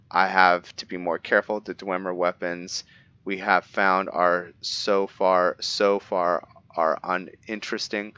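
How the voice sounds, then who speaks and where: A man playing a low-voiced vampire assassin. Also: pushed and bright, smooth, no echo, highs cut off. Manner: measured, stumbles now and then over words while reading. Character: brooding male vampire assassin keeping a journal. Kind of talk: reading in-character journal entries for a game roleplay.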